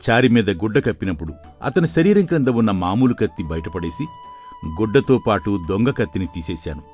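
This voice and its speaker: A man playing a stern, knowing sage in an animated folktale, admonishing and explaining. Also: lowish voice, a little fast, faint music behind. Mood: satisfied, explaining. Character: stern male sage, secretly a scheming magician in disguise.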